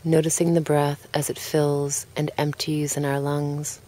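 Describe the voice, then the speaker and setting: A woman narrating a guided relaxation in a calm, gentle and unhurried way, leaving pauses between phrases. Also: sits low.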